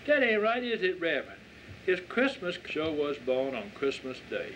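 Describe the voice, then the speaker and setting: A man's voice: a little high, wildly swooping pitch, moderate pace, a bit bright. A man voicing an earnest little girl from the rural South who corrects others and appeals to her elders, in a story reading. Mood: earnest, contradicting. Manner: pleading, corrective, folksy. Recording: dull lo-fi audio with faint noise.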